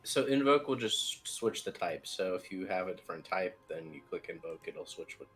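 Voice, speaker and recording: Man, low voice, informal tech instructor, rambling explanations, faint background music. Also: animated, normal speed, fairly bright tone. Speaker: software team lead, instructor. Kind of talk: informal technical tutorial explanation.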